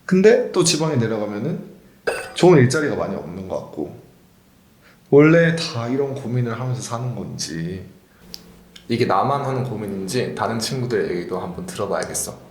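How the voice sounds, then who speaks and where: A man's voice: lowish voice, brisk. A young man in his twenties from the south of Korea, hosting and narrating his own story in a chatty, informal way. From South Gyeongsang, Korea. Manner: chatty, informal, animated intonation. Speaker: host and narrator. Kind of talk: casual first-person vlog narration. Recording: echoey with music behind.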